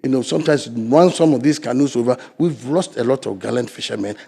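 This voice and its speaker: A man's voice: a little fast, gravelly, husky. An older Ghanaian man with a Ghanaian English accent, arguing emphatically as a guest in a studio interview. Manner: emphatic and argumentative, swinging between loud stresses and low asides. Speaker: fisheries sector guest.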